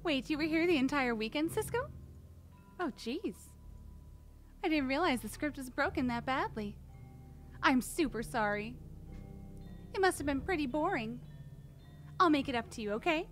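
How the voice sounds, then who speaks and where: A woman's voice: high voice, lively intonation, normal speed, long pauses, soft background music. A woman reading the lines of a cheerful, apologetic teen girl club president from a game. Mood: chatty. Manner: bright, chatty and apologetic. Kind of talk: reading game dialogue aloud.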